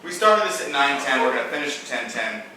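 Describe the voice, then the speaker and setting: A young man tells a loose, rambling story to friends, swearing now and then and laughing through asides. His voice is bright. The recording is very echoey and picked up from a distance, with faint noise behind him. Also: medium pitch, lively intonation, quick pace. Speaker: storyteller in a drinking game. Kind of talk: casual chat, rambling storytelling. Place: room indoors with friends. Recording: very echoey room, mic far off, faint noise.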